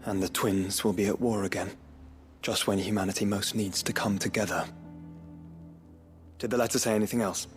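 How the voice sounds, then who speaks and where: A man's voice: lowish voice, fairly even intonation, moderate pace, long pauses, faint music behind, lightly acted. An actor playing a learned, earnest young nobleman in a video game, speaking formally and eloquently. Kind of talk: dramatic video game voice acting.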